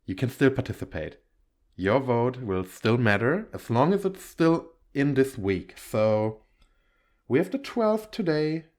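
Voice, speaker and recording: A man's voice: medium pitch, big pitch swings, a little slow, darkish tone. A man giving a casual outro to viewers as an audio creator, rambling, giggly and often correcting himself.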